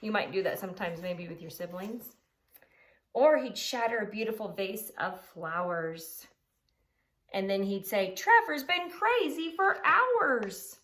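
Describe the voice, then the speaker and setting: A woman's voice: mid-range voice, unhurried. A woman elementary teacher explaining simply to children and reading aloud, with emphasis and rhetorical questions. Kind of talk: children's lesson and expressive storybook read-aloud.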